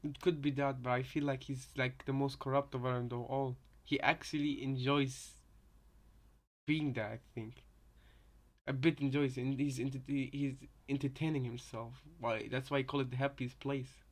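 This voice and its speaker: A man's voice: medium pitch, little pitch movement, normal speed. A man chatting casually as a reaction co-commentator, rambling and circling back over his own points. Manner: rambling, repeats points, often adds "you know". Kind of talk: casual chat, speculative commentary.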